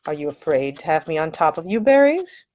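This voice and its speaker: A woman's voice: low-pitched, sing-song, a little fast, a little mellow, lo-fi sound. A woman voicing a big, roguish male romantic hero with a wry, teasing manner, in an audiobook romance. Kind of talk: acted dialogue in an audiobook romance.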